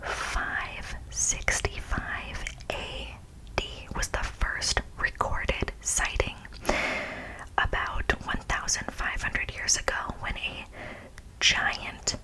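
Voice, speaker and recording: A woman hosting whispered ASMR in a breathy, unvoiced whisper, speaking informally and emphatically and repeating phrases. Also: bright, forceful tone, rough recording. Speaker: ASMR video host. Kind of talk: whispered ASMR, part reading, part casual commentary.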